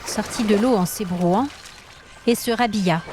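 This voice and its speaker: A woman tells a scripted fantasy tale as a storyteller. Her voice has a medium pitch, and the narration is dramatic, with light music underneath. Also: unhurried, darkish tone.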